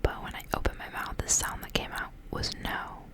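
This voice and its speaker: A woman reading aloud in a soft, breathy, unhurried whisper, in the style of an ASMR storyteller.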